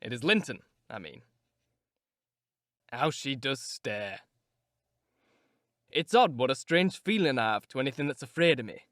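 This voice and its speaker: A man voicing a harsh, brooding, cruel grown man who speaks in grim, deliberate threats. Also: medium pitch, big pitch swings, a bit bright, dry recording, studio quality, pitched below the performer's own voice. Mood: bitter.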